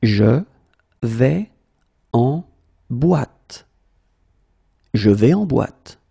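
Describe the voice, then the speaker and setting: A man who is a native French teacher, slowly drilling short phrases, saying each one whole and then word by word. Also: mid-range voice, animated, gravelly, warm tone, slightly rough. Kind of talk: slow phrase-by-phrase language drill.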